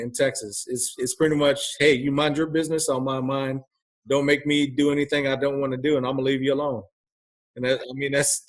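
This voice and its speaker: A man talks bluntly in a relaxed back-and-forth, with a voice at an ordinary mid pitch. He speaks candidly and keeps circling back with restarts and "you know" as he thinks aloud. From grew up overseas in a military family. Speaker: guest. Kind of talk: casual conversational interview. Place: lives in Texas.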